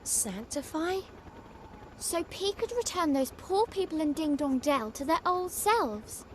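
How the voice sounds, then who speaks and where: A woman voicing an earnest, curious, innocent young boy hero in an animated video game. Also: high voice, a little slow, a little hiss or hum. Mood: puzzled.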